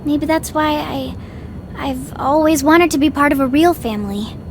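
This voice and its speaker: A woman playing an excitable, romantic young woman in an anime game dub, with a gushing, dramatic way of talking. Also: sits high, normal speed, background noise. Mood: wistful, open.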